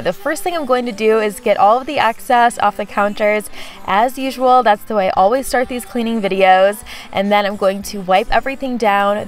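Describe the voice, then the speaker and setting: A woman vlogger chatting casually in a voice-over about her kitchen, with frequent "like" fillers. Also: fairly high pitch. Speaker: home vlogger.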